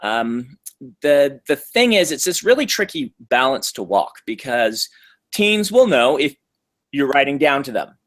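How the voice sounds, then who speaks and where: A man talking casually in an interview at a middling pitch, his answers thoughtful and loose. He pauses often with ums and restarts his sentences as he works out what to say. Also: big pitch swings, fairly bright tone. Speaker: author being interviewed.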